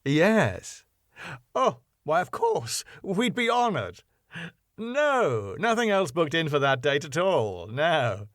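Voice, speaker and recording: An excitable sitcom husband who owns a ballroom club, acted out by a male reader. He is theatrical and exclamatory, with a pitch that swings widely and climbs high, recorded close and dry. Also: takes it slowly, dry recording, full character voice. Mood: eager, deferential. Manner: flamboyant, exclamatory. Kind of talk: sitcom script reading.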